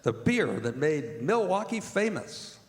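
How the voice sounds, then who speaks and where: A man's voice: fairly high pitch, very animated, unhurried. A man announcing in old-time radio style from a stage, reading program introductions and sponsor copy. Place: performing arts center stage. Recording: reverberant hall, live stage, music behind.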